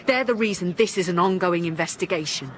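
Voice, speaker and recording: Actress as a blunt, low-voiced police inspector. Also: female voice, brisk, close to an everyday voice. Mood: defensive, firm. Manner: terse, authoritative, questioning. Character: blunt, dogged female police inspector, weary but unapologetic. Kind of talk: naturalistic radio drama acting.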